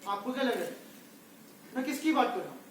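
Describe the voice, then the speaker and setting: Casting: male actor as wry philosophical clown, high-pitched, theatrical monologue, distant stage recording. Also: big pitch swings, fast. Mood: wry, musing. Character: musing clown narrator addressing the audience, wryly philosophical. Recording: very echoey hall, far mic, faint noise.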